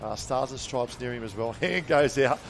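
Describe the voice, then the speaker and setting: A man calling live beach sports as play-by-play commentator, excitable and quick to react to the action. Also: medium pitch, vocal fry, faint music behind. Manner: excitable, calls the action in bursts.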